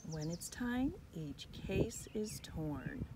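A woman's voice: mid-range voice, extremely slow. A woman reading a children's picture book aloud outdoors, expressively, as a teacher would to young children. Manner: expressive, addressing young children, with whispered asides. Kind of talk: children's story read-aloud. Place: outdoors in a front yard under a tree. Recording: outdoor recording with birds and ambient noise.

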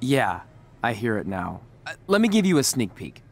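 A man's voice: mid-range voice, very animated, normal speed. An actor playing an earnest young male wrestler, awkward and sheepish.

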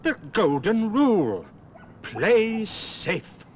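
A man's voice: high-pitched, very animated, very slow, heavily acted. A British man voicing a wise, stern cartoon owl who lectures emphatically about safety in a 1970s public information film. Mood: earnest lecturing. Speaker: safety instructor. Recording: dull old film soundtrack with music.

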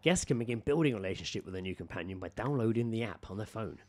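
A man's voice: fairly low pitch, animated, racing, darkish tone. A British man co-hosting a podcast, casually reading out odd news with jokey, sweary asides and ready laughter. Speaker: podcast co-host.

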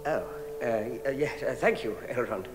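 An actor playing a modest, flustered little hobbit in a radio drama, stammering and bumbling. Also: male voice, medium pitch, moderate pace, noisy background, close to an everyday voice. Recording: reverberant hall, music behind.

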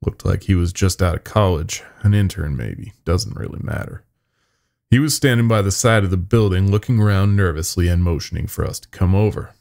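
Deep, gravelly male horror storyteller. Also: animated, brisk, darkish tone. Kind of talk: dramatic first-person horror story narration.

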